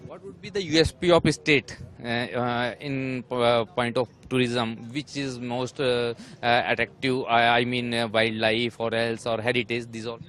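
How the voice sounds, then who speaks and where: A man's voice: medium pitch, steady tone, unhurried, hardly any pauses, fairly bright tone. A man reporting, asking tourists short questions with many fillers. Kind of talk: street interview.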